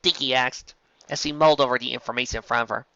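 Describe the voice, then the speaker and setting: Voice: mid-range voice, steady tone, fast talker, sharp tone, highs cut off. A narrator reading scripted fan fiction as an audiobook, in a storytelling style.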